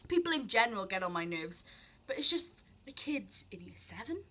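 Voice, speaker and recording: A woman's voice: medium pitch, very animated, moderate pace, sharp tone. A teenage girl with a South Wales Barry accent tinged with English, rambling expressively in an unscripted casual rant as she jumps between thoughts. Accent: South Wales, Barry, with some English sounds. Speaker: vlogger.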